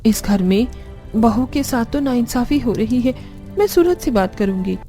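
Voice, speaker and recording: Woman acting young housewife, very high voice, aggrieved, pleading, cartoon family drama, music behind. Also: normal speed, warm tone, lightly acted. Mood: resentful. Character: young daughters-in-law and pampered daughter, aggrieved, pleading.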